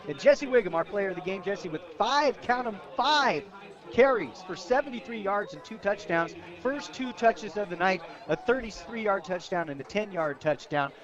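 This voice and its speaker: A man's voice: high-pitched, expressive, moderate pace, highs cut off. A man giving conversational live football commentary as a radio color commentator. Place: outdoor high school football stadium. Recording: outdoor broadcast, clear crowd noise. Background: stadium crowd noise.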